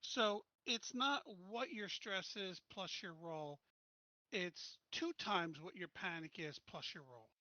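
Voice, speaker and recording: A frustrated player questioning the game master about the rules. The voice is bright at a middle pitch. The sound is dry and somewhat dull. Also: slow, no echo. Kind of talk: casual chat at a game table.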